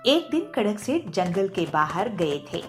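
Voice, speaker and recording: A woman's voice: mid-range voice, very animated, a little slow, faint music behind. A woman reading scripted narration for a children's cartoon moral story.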